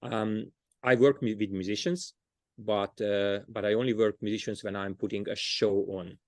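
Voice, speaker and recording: A man's voice: lowish voice, steady tone, normal speed, darkish tone, no echo, studio quality. A man with a Hungarian accent, a storyteller being interviewed, talking casually and often restarting as he searches for words. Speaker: storyteller, guest.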